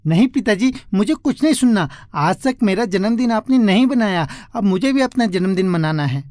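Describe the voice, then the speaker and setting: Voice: medium pitch, lively intonation, moderate pace, a little mellow, dry recording, natural voice. A performer voicing a poor little boy in a moral story, eager and insistent, with a whining way of talking. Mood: stubborn.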